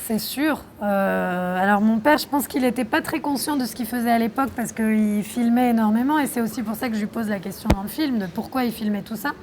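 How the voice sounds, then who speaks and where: A young woman from France answering interview questions about her documentary, reflective and thoughtful, pausing often. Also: a little high, a little mellow, slight background noise. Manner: reflective, thoughtful, with frequent hesitations. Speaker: documentary filmmaker being interviewed.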